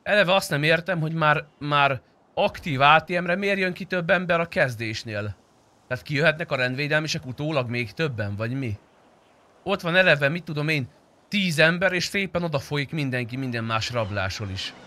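Bright-voiced young man streaming a game. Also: a little high, lively intonation. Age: young adult. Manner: blunt, profane, slangy. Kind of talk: casual livestream chat while gaming. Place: indoor streaming room.

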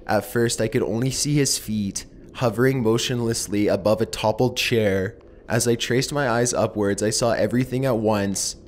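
A man narrating horror stories in a measured, somber, deliberate manner as the host of his channel. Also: fairly low pitch, slight background noise. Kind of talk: scripted horror story narration.

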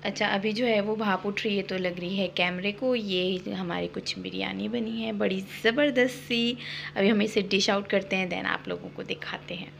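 A woman's voice: mid-range voice, fairly even intonation, moderate pace, vocal fry, slight background noise. A young woman, casually chatting to viewers in her home kitchen while filming on a handheld phone. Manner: chatty, upbeat, addresses viewers directly. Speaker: vlogger. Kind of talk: casual home cooking vlog. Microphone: phone camera held in hand.

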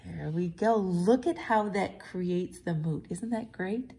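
An American woman talks to young children as their teacher. Her voice is husky with some creak, and she goes slowly, adding encouraging, chatty asides as she reads and demonstrates. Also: on the low side, mellow. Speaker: teacher reading to a kindergarten class. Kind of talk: read-aloud and drawing lesson for children.

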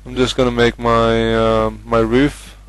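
A man's voice: mid-range voice, monotone, measured pace, clean tone, low-quality recording. A young man from Norway with a Norwegian accent, casually commentating his game with many hesitant fillers. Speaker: gamer.

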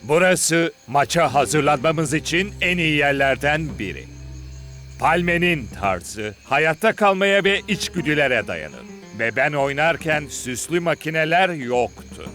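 A man's voice: a little high, big pitch swings, a little slow, bright, forceful tone, soft background music, heavily acted. A man voicing a middle-aged, reclusive former football star turned demanding trainer in a cartoon dub, with a stern, emphatic delivery. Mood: instructing.

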